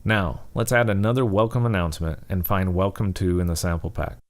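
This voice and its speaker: A man with a low-pitched voice narrates a tutorial. He explains things step by step in an informal, instructional manner, as a presenter walking learners through software. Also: steady tone, brisk.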